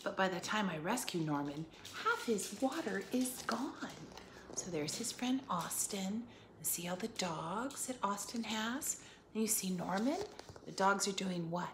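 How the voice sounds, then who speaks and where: A woman teacher reading a picture book aloud for children, expressively, pausing to put questions to her listeners. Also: medium pitch, lively intonation. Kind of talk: animated read-aloud for children with asides and questions. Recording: echoey room, faint background noise.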